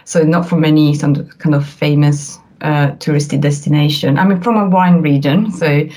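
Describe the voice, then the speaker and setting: A woman's voice: sits low, steady tone, normal speed, warm tone. A woman in her forties from northern Italy with an Italian accent, chatting as an interview guest, hesitant with many fillers and restarts. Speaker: photographer guest. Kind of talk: casual interview chat.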